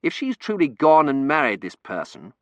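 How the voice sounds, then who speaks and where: A man's voice: on the high side, wildly swooping pitch, moderate pace, no room sound, highs cut off, voice pushed up. Guy doing a slightly raised young victorian gent, eager, dry close sound. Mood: relieved.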